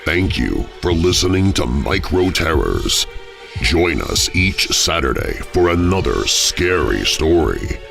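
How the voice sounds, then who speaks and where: A male storyteller delivers spooky tales for children with theatrical suspense. His pitch swings expressively from line to line, and music plays behind him. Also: big pitch swings, slow, vocal fry, fairly bright tone. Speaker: narrator. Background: music bed.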